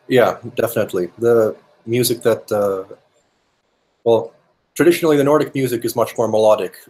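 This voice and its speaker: A man's voice: medium pitch, moderate pace, a little mellow. A young man whose first languages are English and Finnish, a musician giving thoughtful interview answers with many fillers and restarts. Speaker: musician guest.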